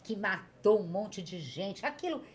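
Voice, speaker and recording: Lively woman talk show host. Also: mid-range voice, unhurried. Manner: curious, affirming, asks questions. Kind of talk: hosting a TV health talk show.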